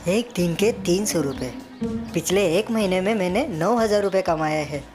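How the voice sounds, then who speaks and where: A woman's voice: lowish voice, lively intonation, unhurried, a little mellow, faint music behind. A woman voicing an envious, scheming young male helper in a cartoon moral story. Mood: calculating.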